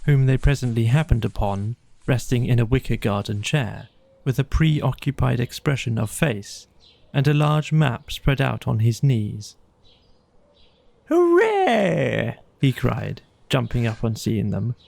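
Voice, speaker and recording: A man's voice: medium pitch, measured pace, gravelly, a little mellow, no room sound. A man reading scripted narration for a children's bedtime audiobook.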